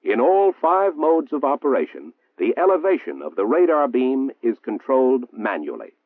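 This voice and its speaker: A man's voice: mid-range voice, very animated. American man narrating, tinny old film soundtrack. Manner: formal, measured, precise enunciation. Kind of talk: 1960s military instructional film narration. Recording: muffled, roomy 1960s film soundtrack, phone-like.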